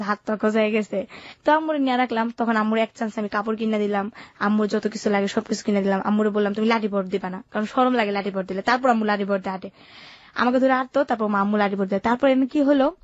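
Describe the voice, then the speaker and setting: Fast-talking young Bangladeshi woman, muffled dry sound. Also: medium pitch, no room sound. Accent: colloquial Bangladeshi Bengali. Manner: matter-of-fact, unsentimental telling. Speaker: guest telling her life story. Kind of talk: informal first-person storytelling.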